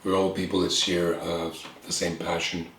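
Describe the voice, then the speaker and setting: A man with an Icelandic accent talks slowly and thoughtfully in short phrases. His voice is low and fairly flat. The room around him sounds echoey, with a faint hiss underneath. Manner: earnest, reflective, pausing in short phrases. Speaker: guest. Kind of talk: candid conversation. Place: room with bookshelves and a window, at a table.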